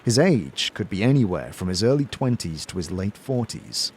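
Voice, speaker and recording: A man's voice: fairly low pitch, sing-song, normal speed, a little mellow, a little hiss or hum. A man reading scripted, dramatic narration as the narrator of a fantasy audio series.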